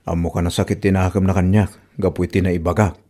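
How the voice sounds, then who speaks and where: A man's voice: low voice, flat delivery, fast talker, mellow. A man with an Ilocano accent playing an exasperated in-law in a comedy drama. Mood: firm complaint.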